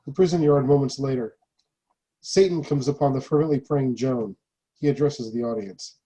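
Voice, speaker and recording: A man reading stage directions as scripted narration in a mellow voice. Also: medium pitch, moderate pace, poor audio.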